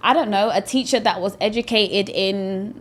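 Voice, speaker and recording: A woman, a podcast guest talking in an animated, emphatic way with many fillers. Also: medium pitch, moderate pace, a little hiss or hum. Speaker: guest, investor. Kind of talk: conversational podcast interview.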